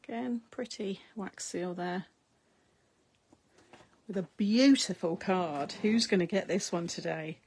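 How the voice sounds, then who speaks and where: Chatty British woman with a husky voice. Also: on the low side, slow, long pauses, a little mellow. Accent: British English. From United Kingdom. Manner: chatty, reads comments aloud, laughs often. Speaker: crafting livestream host. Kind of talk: casual live chat while crafting.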